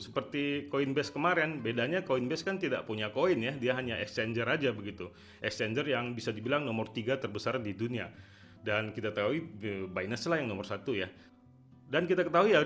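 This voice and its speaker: A man's voice: medium pitch, fairly bright tone. A man giving casual, conversational market commentary as a video host, often ending phrases with a tag word. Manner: conversational, repeatedly tags phrases with "ya".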